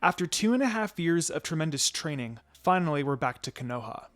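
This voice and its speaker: A man's voice: fairly high pitch, big pitch swings, fairly quick, fairly bright tone. A man reading a first-person story aloud in a dramatized narrating style, switching between telling and dialogue. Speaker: fan fiction reader. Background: background music.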